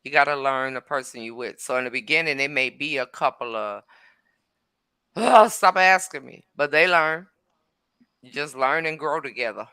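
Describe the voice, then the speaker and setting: A woman's voice: very deep voice, moderate pace, bright, forceful tone, smooth, no echo. A woman co-hosting a podcast, chatting in a laid-back way and reading out listener comments. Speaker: podcast co-host. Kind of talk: casual chat.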